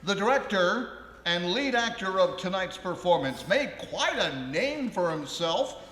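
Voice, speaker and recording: A man playing a theatrical 1920s actor who narrates a comic live radio play in a declamatory way with deliberate pauses. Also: high voice, expressive, a bit bright. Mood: mock-grand. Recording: live in echoey ballroom, long reverb.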